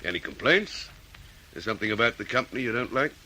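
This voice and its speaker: Deep, animated, probing radio detective. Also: male voice, slight background noise, poor audio. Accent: Australian. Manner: deliberate, questioning. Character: methodical, probing male police detective. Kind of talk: old radio detective drama acting.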